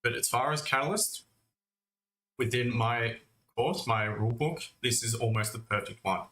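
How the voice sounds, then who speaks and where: A man's voice: medium pitch, normal speed, bright, forceful tone. A man hosting a live trading stream with casual, conversational market commentary, talking directly to his viewers as mates. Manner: conversational, addressing viewers as mate and guys. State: recovering from cough and lost voice. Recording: roomy echo, faint music.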